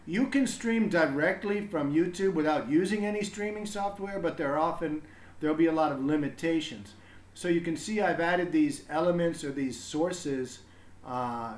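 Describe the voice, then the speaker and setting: Male streamer, slightly high voice, casual explainer who thinks aloud, roomy echoing sound. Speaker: live streamer reading to children. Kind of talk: casual explanatory livestream talk.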